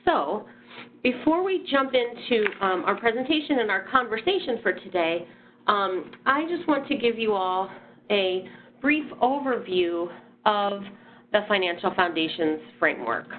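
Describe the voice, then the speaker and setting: A woman hosts a webinar and walks through a presentation. She sounds a bit nasal from a sinus infection and talks in a friendly way, with frequent ums and restarts. Her voice comes through a thin, phone-like line at a mid pitch. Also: expressive, a little slow. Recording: phone-like audio.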